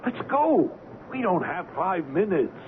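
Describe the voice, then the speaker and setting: Blunt, animated ship's officer, radio drama. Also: male voice, high voice, measured pace. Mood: urgent, exasperated. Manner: insistent, blunt. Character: urgent, hard-nosed, pragmatic male ship's officer. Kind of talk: old-time radio drama acting. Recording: muffled, noisy old radio drama recording.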